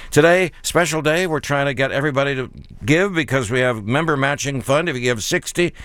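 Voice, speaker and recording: A man hosting a public radio fundraiser in the studio, chatting genially with guests and slowing down to read out the pledge number. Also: medium pitch, fairly bright tone, smooth, dry recording. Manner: genial, chatty, slows to repeat phone numbers. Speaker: radio host. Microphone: studio mic.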